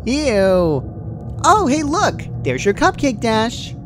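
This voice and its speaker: A man's voice: extremely high pitch, wildly swooping pitch, slow, noisy background, voice pushed up, full character voice. A man voicing a gleeful, sadistic female cartoon pony in a horror fan story, jovial and joking with mock sweetness. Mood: manic glee. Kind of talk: horror fan fiction character voice.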